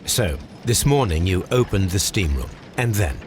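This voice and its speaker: A man playing a shrewd, commanding English gentleman detective in a Victorian video game, speaking with precise, formal phrasing. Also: sits low, big pitch swings, normal speed, a little hiss or hum. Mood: brisk, inquiring. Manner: precise, formal, clipped questioning. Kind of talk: video game cutscene acting.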